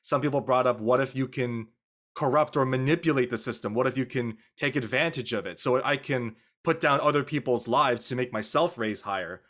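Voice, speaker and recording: A man speaking as an actor on a casual panel, earnest and deliberate in how he explains his thoughts. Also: medium pitch, fairly bright tone.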